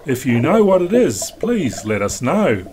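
Man, calm instructive narration, mid-pitched voice, noisy background. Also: a little slow. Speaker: sailing vlog host. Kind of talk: scripted voice-over narration. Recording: wind noise on deck.

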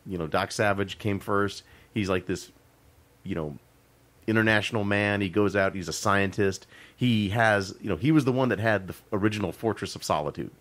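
A man's voice: lowish voice, normal speed, a bit bright. A man on a podcast recounting what he watched, peppering his talk with "you know" and chuckles. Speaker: podcast co-host. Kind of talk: casual chat.

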